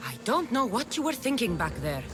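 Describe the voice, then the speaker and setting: A woman's voice: on the high side, sing-song, brisk. A woman playing a fierce, bitter young warrior in a video game, with a taunting, cutting delivery. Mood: cold, challenging. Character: fierce young female cult-raised warrior, bitter, menacing, contemptuous. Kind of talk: cinematic video game acting. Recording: music under the voice.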